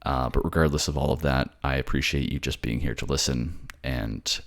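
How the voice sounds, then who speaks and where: A man's voice: rumbling low, steady tone, moderate pace, creaky. A man hosting his audio channel, talking casually to listeners in a polite, appreciative, somewhat hesitant way. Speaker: voice actor, channel host.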